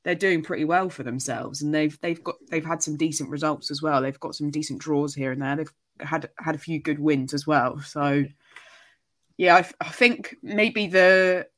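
A woman's voice: sits low, normal speed, fairly bright tone. Hedging woman pundit. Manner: hedging, with many restarts and frequent laughs. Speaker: podcast guest pundit. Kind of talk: casual football podcast chat.

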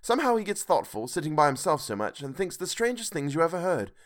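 A man voicing a poor, cheerful clerk and father, earnest and gentle. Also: high-pitched, wildly swooping pitch, a little slow, voice pushed up, heavily acted.

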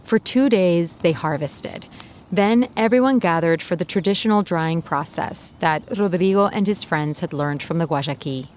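A woman hosting a podcast, reading a scripted story in a measured, clear storytelling voice. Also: fairly low pitch, darkish tone, a little hiss or hum. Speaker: podcast host and narrator. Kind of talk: scripted narration.

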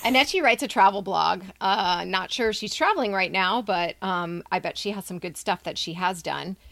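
A woman's voice: mid-range voice, moderate pace, gravelly, fairly bright tone, dry recording. A woman hosting a podcast, reading scripted introductions and announcements. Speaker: podcast host.

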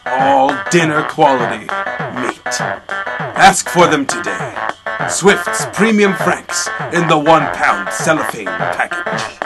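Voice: mid-range voice, expressive, slow pace, over loud music. An announcer reading an old-time radio commercial in an earnest, salesy way.

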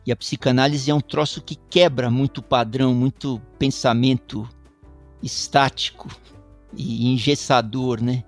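Middle-aged São Paulo man, Paulistano accent, mid-pitched, reflective and rambling, soft music bed. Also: fairly even intonation, unhurried. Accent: Paulistano Brazilian Portuguese. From São Paulo, Brazil. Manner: thoughtful, meandering, many restarts and tag questions. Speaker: guest musician. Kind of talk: reflective interview talk.

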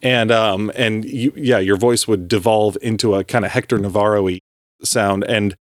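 Man, low voice, rambling casual podcast chat, loose swearing, dry close sound. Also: steady tone, normal speed, no room sound. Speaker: podcast co-host.

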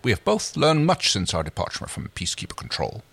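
A man reading aloud and voicing a scheming male ex-commander with earnest, theatrical persuasion, his voice pushed higher than his own. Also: wildly swooping pitch, a little fast, creaky, a bit bright, husky, no room sound, full character voice. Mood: persuasive.

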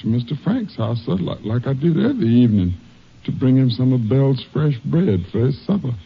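An actor playing a humble, honest old farmhand with a Southern accent, husky, halting and deferential, in a 1950s radio drama. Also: male voice, medium pitch, big pitch swings, heavily acted. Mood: humble, earnest. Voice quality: husky, gravelly. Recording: dull old radio broadcast.

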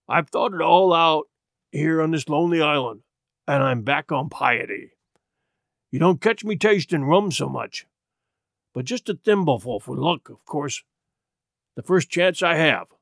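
Storybook castaway pirate, played by a male narrator, hoarse and rusty, half-crazed and sly. He drawls out rustic sailor talk with a childlike eagerness, swinging widely in pitch, recorded dry and close. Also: no echo, heavily acted. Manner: rustic sailor speech, slow and drawn-out.

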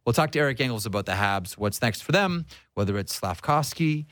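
A male radio host talks hockey in a conversational, chummy style. His voice sits at a medium pitch, and he addresses guests like old pals. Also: expressive, brisk, a bit bright, dry recording, clean studio sound. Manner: chummy, calls guests pal. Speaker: sports radio show host. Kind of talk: conversational sports talk hosting.

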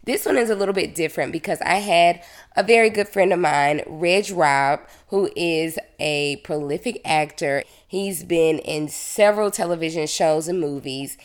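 A woman's voice: deep voice, normal speed, reverberant. Upbeat congested woman in her thirties. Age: 30s. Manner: upbeat, energetic, laughs often. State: congested, slightly nasal. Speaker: podcast host. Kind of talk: casual conversational interview.

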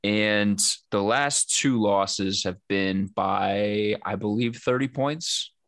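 A man's voice: lowish voice, takes it slowly, fairly bright tone, dry recording, studio quality. A man hosting a sports podcast, talking in a loose, conversational way with frequent fillers and restarts. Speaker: podcast host. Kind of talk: casual sports podcast chat.

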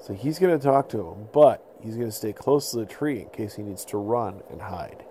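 A man telling an improvised bedtime story to children in simple, explanatory phrases with pauses. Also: lowish voice, expressive, warm tone, slight background noise. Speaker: podcast storyteller.

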